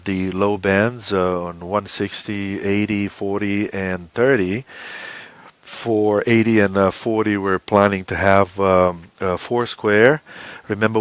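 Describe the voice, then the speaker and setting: A man answering interview questions as an expedition co-leader, explaining plans in a halting way with very frequent uhs. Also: lowish voice, smooth, no room sound.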